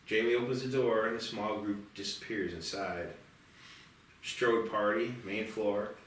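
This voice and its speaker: A man's voice: lowish voice, steady tone, a little slow. A man reading out screenplay stage directions as narrator at a table read. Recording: echoey room, distant mic, faint noise.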